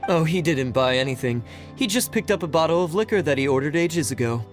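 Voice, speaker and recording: A courteous but defensive male shopkeeper from a video game played by an actor. His voice is on the high side and lively in pitch, expressive as he explains, with soft music behind. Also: fairly quick, lightly acted. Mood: helpful. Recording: music playing underneath.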